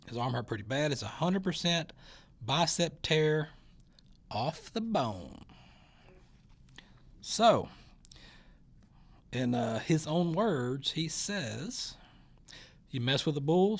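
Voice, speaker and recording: Folksy male talk show host. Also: medium pitch. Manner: rambling, folksy, many fillers and restarts. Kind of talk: casual news chat and interview.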